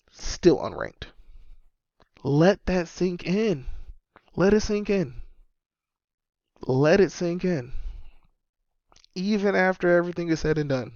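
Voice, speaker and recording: A man in his thirties with a slightly high voice rambles through a casual solo sports podcast, hammering home each point by saying it again. The audio is dull and lo-fi, with a dry, close sound and no room around it. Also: a little mellow, no room sound. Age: 30s. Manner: emphatic, repeats points for stress. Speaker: podcast host and sports fan.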